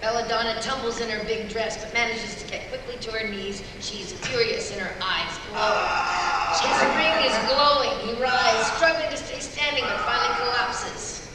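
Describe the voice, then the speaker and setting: A woman's voice: fairly high pitch, normal speed, lightly acted. A woman voice actor reading a script aloud at a live table read, recorded from a distance in an echoey hall. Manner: playful, giggly between lines. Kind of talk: live script reading of stage directions and lines. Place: large convention room with live audience. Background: room ambience noise.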